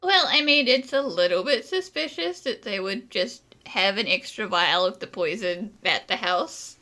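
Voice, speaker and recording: A woman's voice: mid-range voice, lively intonation, a little slow, pushed and bright. A woman giving casual let's play commentary on a video game, with a wry, slangy way of talking. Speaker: gaming streamer.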